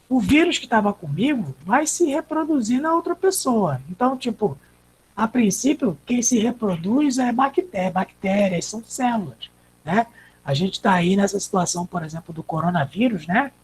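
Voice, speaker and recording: A woman's voice: medium pitch, a little hiss or hum, low-quality recording. Brazilian woman teaching a lecture. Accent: Brazilian Portuguese. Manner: explanatory, asks and answers own questions. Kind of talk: online class lecture.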